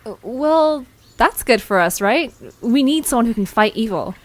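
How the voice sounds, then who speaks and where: A woman's voice: a little high, lively intonation, normal speed, dry recording. A woman playing a friendly, curious young adventurer who talks casually and asks questions in an audio drama. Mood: uneasy curiosity. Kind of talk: acted audio drama dialogue.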